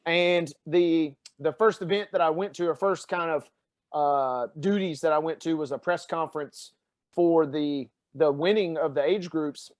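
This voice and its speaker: A man from Tennessee with a Southern accent, chatting as a guest and telling animated stories with lots of fillers. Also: on the high side, measured pace. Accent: Southern American, Tennessee. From Tennessee, USA. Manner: animated storyteller with many fillers. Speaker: podcast guest. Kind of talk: casual chat.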